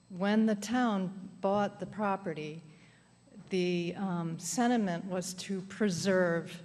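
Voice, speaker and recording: A woman speaking during public comment at a town council meeting, hesitant and pausing as she loses her train of thought. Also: on the low side, a little mellow. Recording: echoey hall, faint hum.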